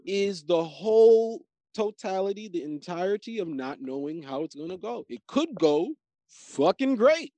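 High-pitched dude going off, swearing, slangy, dry close sound. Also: male voice, measured pace, no room sound. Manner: animated, profane, slangy, preachy riffs. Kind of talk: casual chat.

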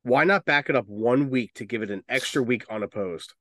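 A man's voice: mid-range voice, a little fast, a bit bright, dry recording. Rambling, opinionated man chatting on a podcast. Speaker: podcast co-host. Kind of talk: casual chat about movies and video games.

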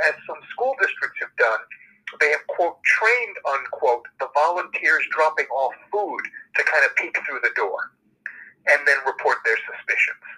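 A man hosting a conversation, making an emphatic, lively argument. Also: high-pitched, breathy edge, low-quality recording. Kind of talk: conversational advocacy.

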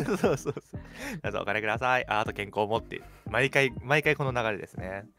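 A man's voice: fairly low pitch, fast talker, vocal fry, bright, forceful tone, soft background music. A man in his twenties co-hosting a radio show, chatting casually with a friend. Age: 20s. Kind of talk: casual banter between friends.

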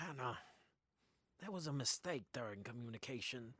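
A husky, animated man's voice as a kindly schoolteacher in an audio drama. He sounds easily flustered, hesitant and apologetic. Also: mid-range voice, no room sound, studio quality, full character voice. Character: flustered, kindly adult male middle-school teacher. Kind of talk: audio play acting.

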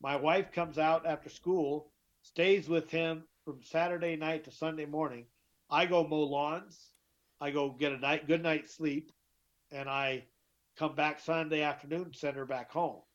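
A middle-aged man with a deeper voice, telling his story as an interview guest, emphatic and often repeating himself. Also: steady tone, a little slow. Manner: emphatic, repeats and restarts words. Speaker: guest, author. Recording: dull lo-fi sound.

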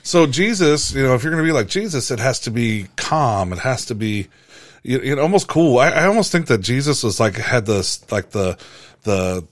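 A man with a deep voice in a casual faith discussion, rambling with many halting restarts. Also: animated, dry recording, clean studio sound.

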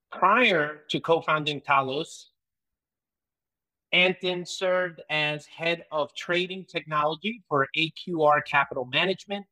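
Bright-voiced enthusiastic male podcast host. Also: a little high, rough recording. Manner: enthusiastic, reads long intro then chats. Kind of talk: casual interview.

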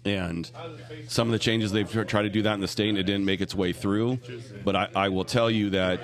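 Loud, earnest man, lowish voice. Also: fairly even intonation, a little fast. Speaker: loan officer for veterans. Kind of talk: casual conversation at an event. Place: room full of people at an event.

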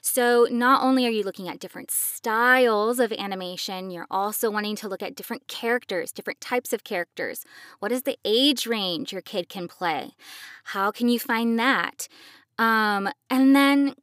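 Higher-pitched woman explaining on a podcast. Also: moderate pace, a bit bright, dry recording, studio quality. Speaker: podcast co-host, professional voice actor. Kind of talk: conversational explanatory podcast talk.

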